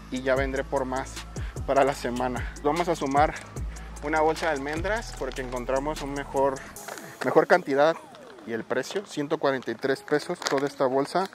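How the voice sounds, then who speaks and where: A man's voice: a little high, moderate pace, music in the background. A man in his thirties with a Mexican Spanish accent, chatting casually in a vlog as he shops for food and counts out prices aloud. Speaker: bodybuilder vlogger. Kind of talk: casual vlog, showing his weekly grocery shopping. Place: supermarket aisles, car and shops.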